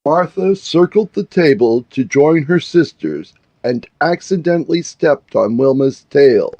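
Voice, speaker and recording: A man narrating a scripted fairy tale as a storyteller, in a measured way. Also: a little high, lively intonation, mellow, no echo, highs cut off. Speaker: author and narrator.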